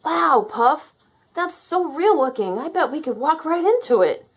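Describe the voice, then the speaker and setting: A woman's voice: high-pitched, animated, unhurried, voice pushed up. A woman voicing an earnest, unsure young boy in a children's storybook, plaintive and wide-eyed. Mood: amazed. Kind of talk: children's storybook character read aloud.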